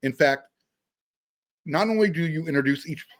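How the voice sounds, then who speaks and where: A man's voice: a little high, normal speed. An American man hosting a sports podcast, chatting casually and emphatically, repeating phrases for stress. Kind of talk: casual sports interview chat.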